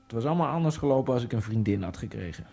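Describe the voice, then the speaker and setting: A man's voice: mid-range voice, animated, rapid-fire, creaky, mellow, slightly rough, soft background music. An actor playing a lonely immigrant man obsessed with speed skating, narrating his thoughts in a wry, brooding radio-drama monologue. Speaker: first-person narrator of a radio play.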